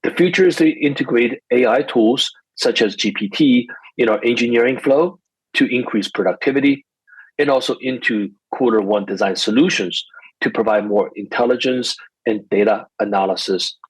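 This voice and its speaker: Husky man giving formal corporate remarks. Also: medium pitch, moderate pace, dry recording, low-quality recording. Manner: formal, reading from prepared remarks. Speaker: company executive. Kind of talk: prepared earnings call remarks.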